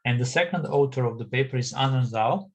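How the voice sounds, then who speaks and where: A man's voice: medium pitch, fairly quick. Serbian-accented man chairing an online session. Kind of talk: moderating an online academic session.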